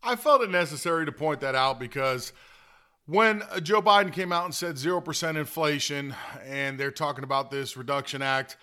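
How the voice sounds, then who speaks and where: A man with a fairly high, very bright and forceful voice talks through political commentary as a show host. He is opinionated and plain-spoken, running his monologue in a conversational way. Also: moderate pace.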